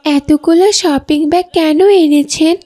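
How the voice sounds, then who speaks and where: A woman's voice: sits high, unhurried, dark tone. A woman voicing a polite, curious young daughter in a cartoon. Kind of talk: Bangla cartoon dubbing.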